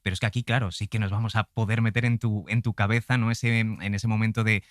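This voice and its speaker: A man's voice: lowish voice, level tone, fast, no echo. Male interviewer, dry sound. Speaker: podcast interviewer. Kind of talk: conversational interview.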